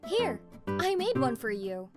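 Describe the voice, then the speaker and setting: A woman's voice: sits high, sing-song, unhurried, loud music behind the voice. A woman playing a tough, protective teenage girl gang leader in a manga dub, bossy and blunt yet girlish. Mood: affectionate, assured. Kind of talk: manga dub character acting.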